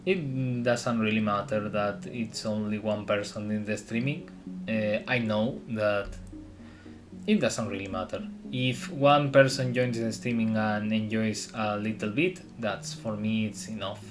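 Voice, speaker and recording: A man from Spain who speaks English as a second language, chatting casually on a live stream and often pausing to search for words. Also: fairly low pitch, fairly even intonation. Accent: Spanish. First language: Spanish. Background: guitar music.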